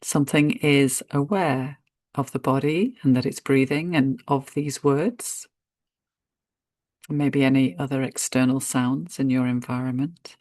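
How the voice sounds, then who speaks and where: Female meditation guide, very slow gentle delivery with spacious pauses, dry recording. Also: a bass voice, fairly even intonation, no echo. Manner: unhurried, gentle, spacious pauses between short phrases.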